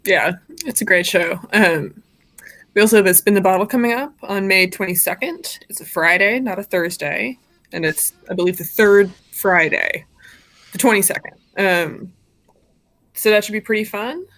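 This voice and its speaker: Woman co-host, medium pitch, casual stream announcements, informal phrasing. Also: normal speed, fairly bright tone. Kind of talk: casual live-stream hosting.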